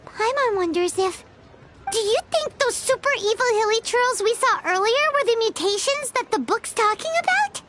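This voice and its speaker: A woman's voice: animated, normal speed, very noisy. A woman voicing a tiny, curious fairy companion in a video game, who talks about herself in the third person. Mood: thoughtful. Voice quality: high, bright and childlike. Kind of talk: game dialogue. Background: game music.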